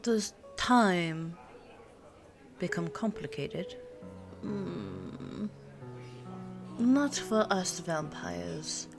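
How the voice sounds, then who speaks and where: A woman acts out an ageless pure-blood vampire girlfriend in an intimate ASMR roleplay. She speaks very slowly in a lowish voice, musing with small hums and a worldly, teasing air. Soft music plays behind her. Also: lively intonation, vocal fry, exaggerated voice. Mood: patient, explaining. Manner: unhurried, musing, pausing with hums before answering. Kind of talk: ASMR audio roleplay, intimate one-sided conversation. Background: soft background music with cafe ambience.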